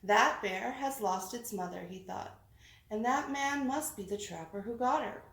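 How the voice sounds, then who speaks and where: A woman reading a children's storybook aloud with lively, dramatic expression. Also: fairly high pitch, unhurried, vocal fry. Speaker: read-aloud reader. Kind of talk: animated children's storybook narration. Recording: roomy echo, faint background noise.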